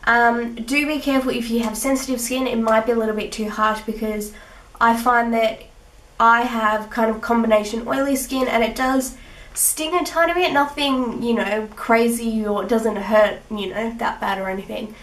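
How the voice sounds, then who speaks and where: A young woman with a sick, husky rough voice, chatting through her skincare routine with little laughs. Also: fairly high pitch, fairly even intonation, normal speed, a bit bright. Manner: chatty, giggly, with fillers. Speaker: beauty vlogger. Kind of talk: casual skincare tutorial. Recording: echoey room, mic at a distance.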